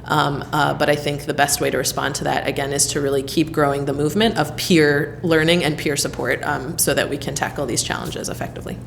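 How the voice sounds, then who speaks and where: A woman from the United States with a notably low voice answers interview questions at an easy conversational pace. She talks thoughtfully, filling her pauses with ums and you-knows. A faint hiss sits under her voice. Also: little pitch movement, creaky. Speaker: interviewee, leader at a civic nonprofit hub.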